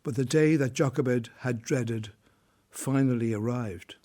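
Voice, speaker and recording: Male drama narrator, middle register, solemn, measured scripted storytelling. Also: mid-range voice, warm tone.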